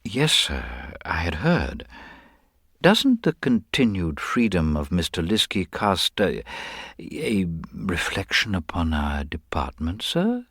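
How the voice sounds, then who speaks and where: A man's voice: sits low, huge pitch swings, slow pace, a bit bright, slightly rough, no room sound, studio quality, exaggerated voice. A man voicing a mild, courteous government investigator in a radio drama, speaking politely, precisely and with some hesitation. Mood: deferential, hesitant. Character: mild, diffident, courteous middle-aged male government investigator.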